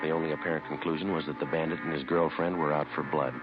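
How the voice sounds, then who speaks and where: An American man playing a terse, businesslike police detective in an old radio crime drama, speaking in a deadpan, matter-of-fact way. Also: very deep voice, fast. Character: terse, businesslike male police detective narrating his case. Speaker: detective narrator. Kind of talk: 1950s radio crime drama, clipped narration. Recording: muffled vintage radio recording, music behind.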